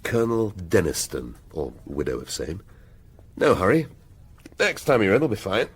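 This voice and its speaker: An actor playing an irascible, wry police detective laid up in hospital, dry and grumbling, in a radio drama. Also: male voice, low voice, big pitch swings, normal speed, husky, exaggerated voice. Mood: wry, brisk.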